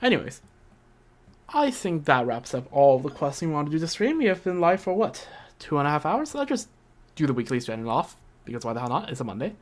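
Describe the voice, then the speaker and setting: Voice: medium pitch, very animated, fast talker. A livestreamer giving casual, slangy gameplay commentary in a rambling, conversational way. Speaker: livestreamer playing a video game. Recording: game music behind the voice. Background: video game music.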